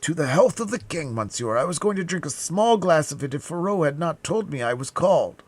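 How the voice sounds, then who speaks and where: A man's voice: on the high side, lively intonation, brisk, no echo, pitched above the performer's own voice. A man voicing a terrified, stammering manservant, servile and shaken, wailing deferentially in an audiobook story.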